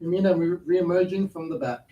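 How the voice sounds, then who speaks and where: Low-pitched woman reading stage directions. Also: fairly quick, warm tone, lo-fi sound. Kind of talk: scripted narration of a staged reading. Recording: echoey, distant, faint noise.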